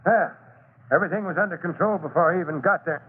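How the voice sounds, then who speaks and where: An actor in an old radio serial playing a gruff, fatherly fire chief who is plain-spoken and sceptical. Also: high-pitched, fast, natural voice. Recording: tinny, noisy vintage radio recording.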